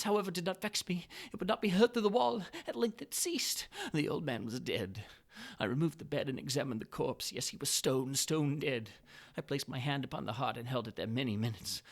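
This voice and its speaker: A man narrating a gothic tale as a dramatic reading, moving between hushed whispers and frantic, feverish shouting. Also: high voice, creaky. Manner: feverish, building intensity.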